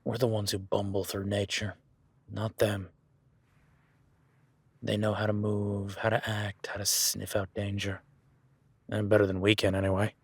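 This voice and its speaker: A man's voice: lowish voice, completely flat delivery, a little slow, pauses often, breathy edge, dry recording. A man voicing an easygoing male cowboy ranch hand in an audio roleplay, talking in a laid-back, folksy way with casual swearing.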